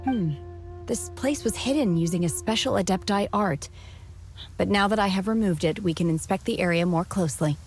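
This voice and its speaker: A woman voicing a reserved, aloof young mystic in a video game, speaking calmly and formally in a measured way. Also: mid-range voice, natural voice. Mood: composed. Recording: game music behind. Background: game music.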